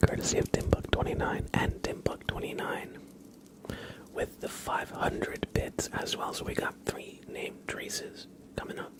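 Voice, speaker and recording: A man hosting an ASMR livestream, speaking in a gentle, mostly unvoiced whisper and repeating phrases unhurriedly. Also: a little slow. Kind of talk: ASMR, greeting viewers and tracing their names.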